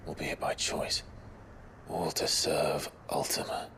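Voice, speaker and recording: An actor playing a grim, resolute and protective warrior in a fantasy video game, speaking in solemn, measured phrases. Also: male voice, a bass voice, level tone, a bit bright, a little hiss or hum, heavily acted, poor audio. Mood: grim, brooding. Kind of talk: dramatic video game cutscene acting.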